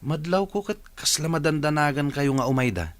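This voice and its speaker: A man with an Ilocano accent acting an excitable, good-natured young village son in a radio drama. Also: a little high, brisk, dry recording, close to an everyday voice. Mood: teasing.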